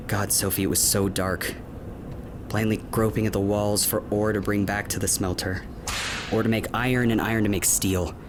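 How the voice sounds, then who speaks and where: Guy playing haunted young survivor, lowish voice, hesitant, music behind. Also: close to an everyday voice. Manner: hesitant, sombre storytelling. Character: haunted young man recalling childhood enslavement. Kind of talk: fantasy audio drama acting.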